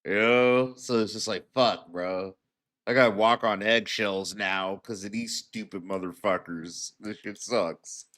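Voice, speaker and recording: Loud, profane streamer man. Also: fairly low pitch, steady tone, measured pace. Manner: profane, loud, says "bro" constantly. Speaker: streamer commentator. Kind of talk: casual reaction commentary.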